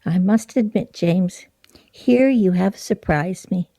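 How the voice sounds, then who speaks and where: An actress as an earnest, creaky-voiced woman, slow and expressive. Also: mid-range voice, no room sound. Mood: earnest, hesitant. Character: earnest, self-conscious woman among scrabble-playing friends. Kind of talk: radio play acting.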